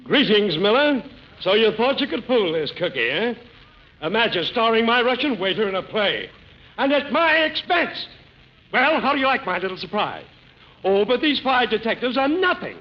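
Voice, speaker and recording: A man playing a pompous, excitable, indignant hotel manager in a 1940s radio comedy, with theatrical delivery. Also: high voice, moderate pace. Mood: gloating indignation. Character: blustering, excitable, outraged male hotel manager. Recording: scratchy muffled 1940s radio broadcast.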